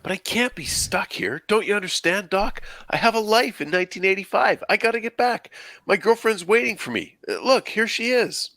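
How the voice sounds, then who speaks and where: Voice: medium pitch, lively intonation, moderate pace, fairly bright tone, no echo, studio quality. A performer reading the part of an earnest, anxious teenage boy in a film script, with an urgent manner. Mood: pleading. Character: earnest, anxious seventeen-year-old boy time traveler. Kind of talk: table read of a film script.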